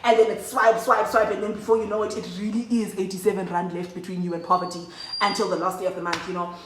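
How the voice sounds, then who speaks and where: A woman's voice: medium pitch, level tone, fast talker, fairly bright tone, echoey room, distant mic, low-quality recording. A young woman telling a story in a chatty, slangy way, talking straight to her viewers. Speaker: vlogger. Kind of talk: casual storytime chat to camera.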